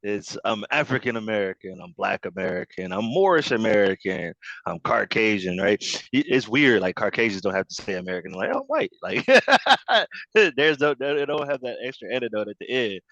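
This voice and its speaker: Low-voiced man, muffled call audio. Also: moderate pace, vocal fry, dry recording. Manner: animated, laughing, trailing off with fillers. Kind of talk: casual group discussion. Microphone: online call.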